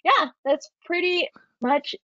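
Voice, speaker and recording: A woman's voice: very high voice, slow pace, no echo. Chatty young woman, dry and muffled. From Kuwait. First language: Tagalog. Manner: animated storytelling with many likes and restarts. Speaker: podcast guest. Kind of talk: casual chat.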